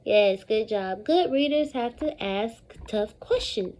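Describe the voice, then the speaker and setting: A woman with a high, mellow voice leads a read-aloud lesson as an elementary teacher. She takes her time, stressing key words and repeating them for young pupils in an animated call-and-response manner. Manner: animated, repetitive call-and-response with deliberate emphasis and questions to pupils.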